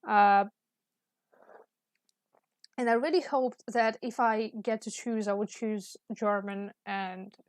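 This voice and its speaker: A woman's voice: mid-range voice, dry recording. A young woman from Russia, speaking English with a Russian accent, chatting casually and hesitantly with many fillers and restarts. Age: young adult. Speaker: student. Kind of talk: casual chat while drawing.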